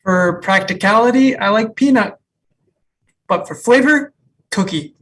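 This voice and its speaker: An actress playing an easygoing, wry job applicant in a sitcom table read. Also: female voice, mid-range voice, a little slow, natural voice. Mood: wry, playful. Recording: distant home microphone.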